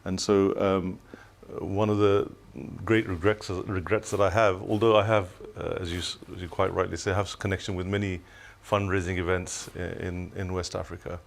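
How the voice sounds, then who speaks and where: A man's voice: sits low, flat delivery, normal speed, gravelly, few pauses, a little hiss or hum. An older man from Tanzania, a studio guest on a telethon, speaking reflectively with many restarts.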